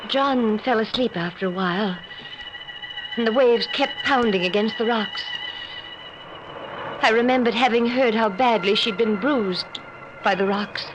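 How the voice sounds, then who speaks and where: A woman's voice: fairly high pitch, a little slow, pauses often, natural voice. A woman playing an anxious, suspicious new wife in a 1940s radio drama, speaking hesitantly and faltering over her words. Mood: brooding. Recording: noisy old-time radio recording.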